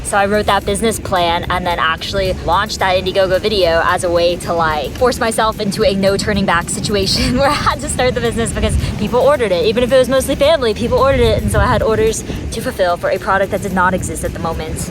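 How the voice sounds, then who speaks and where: A woman's voice: medium pitch, low-quality recording. A woman in her twenties vlogging in a bubbly, chatty way, often saying "like" and "literally". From Georgia, United States. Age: 20s. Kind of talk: casual chat to camera. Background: music.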